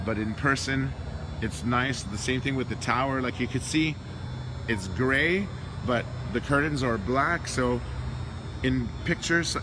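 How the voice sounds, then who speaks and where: Guy losing his voice, rambling on a phone cam in a convention hall, noisy hum behind. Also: mid-range voice. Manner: rambling, offhand, trails off mid-sentence. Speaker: diorama workshop host. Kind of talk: handheld walkthrough vlog. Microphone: handheld phone camera.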